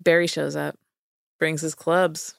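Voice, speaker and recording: A woman's voice: deep voice, level tone, unhurried, creaky, dry recording, clean studio sound. A woman hosting a podcast, telling a story in casual chat with playful asides. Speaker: podcast host.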